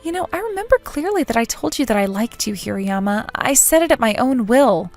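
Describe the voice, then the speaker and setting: A woman's voice: a little high, big pitch swings, brisk, dry recording, soft background music. A woman playing a sociable but nervous, lovesick schoolgirl in a manga dub, with an emotive, hesitant delivery. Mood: nervous confession. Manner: emotive, self-doubting, hesitant. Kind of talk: dramatic manga dub narration and dialogue.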